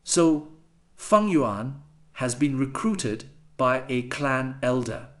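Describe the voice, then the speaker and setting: An academy elder from a fantasy audiobook, acted by a man. He talks very slowly and deliberately in a medium-pitched voice. His manner is reflective and dutiful. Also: expressive. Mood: thoughtful, satisfied. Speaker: voice actor. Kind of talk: dramatised audiobook acting.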